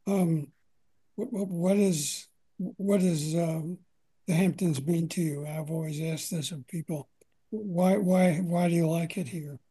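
Male interviewer, breathy and warm, halting delivery with repeated words. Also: on the high side, fairly even intonation, low-quality recording. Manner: halting, repeats words while asking questions. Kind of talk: informal interview questions.

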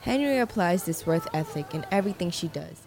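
A woman reporter reading scripted narration in a measured, descriptive way. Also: lowish voice, expressive, a little mellow, faint music behind, low-quality recording.

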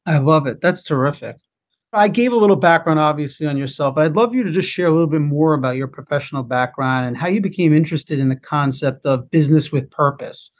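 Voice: mid-range voice, fast. A podcast host asking interview questions in an upbeat, encouraging way.

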